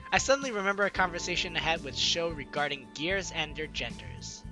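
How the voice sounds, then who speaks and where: A man's voice: a little high, expressive, normal speed. A man hosting a let's play, reading a visual novel aloud with casual asides and a giggly manner. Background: game music.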